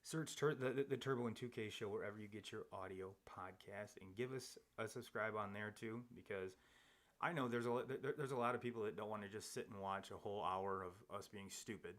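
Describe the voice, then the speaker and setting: A man with a lowish voice hosts a live sports podcast, talking casually about baseball. He rambles and restarts his sentences, with lots of fillers between thoughts. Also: fairly quick, fairly bright tone. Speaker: podcast host. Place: home studio, seated across from co-host.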